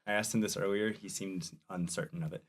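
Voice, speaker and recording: A man's voice: low-pitched, steady tone, brisk. A man hosting a casual podcast, joking and teasing his guest, laughing often. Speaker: podcast host. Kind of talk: casual chat.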